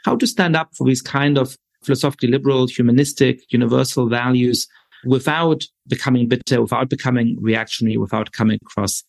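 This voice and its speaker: A male podcast host speaks in a measured, thoughtful way. He poses long, carefully framed questions to his guests. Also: mid-range voice, vocal fry. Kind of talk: podcast interview.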